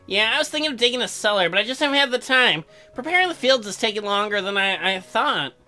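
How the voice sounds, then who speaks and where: A man reads the part of a young farmer husband from a visual novel game. He sounds high and bright, earnest yet hesitant, with mild impatience. Music runs behind. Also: expressive, quick pace, exaggerated voice.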